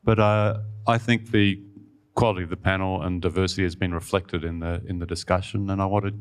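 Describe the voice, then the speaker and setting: A man's voice: deep voice, fairly even intonation, brisk, clean tone. A man moderating a panel discussion, speaking genially and stumbling over repeated words. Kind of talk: chairing a panel.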